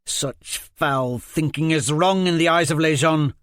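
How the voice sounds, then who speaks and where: A man's voice: fairly high pitch, fairly even intonation, unhurried, dry recording, clean studio sound, pitched above the performer's own voice, lightly acted. A man reading a story, voicing a hot-tempered, pious teenage girl who scolds and threatens. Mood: angry. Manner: heated, scolding.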